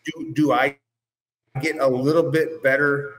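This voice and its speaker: A man's voice: mid-range voice, flat delivery, a little slow, fairly bright tone, a little hiss or hum. Man chatting earnestly about business. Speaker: business owner and guest co-host. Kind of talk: casual conversational talk.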